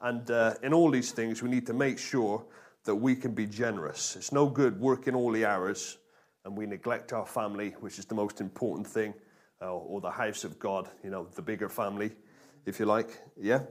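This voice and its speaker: A man's voice: lowish voice, steady tone, normal speed. Man preaching, informal and jokey. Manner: colloquial and jokey, with rhetorical questions and tag questions. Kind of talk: informal sermon to a congregation.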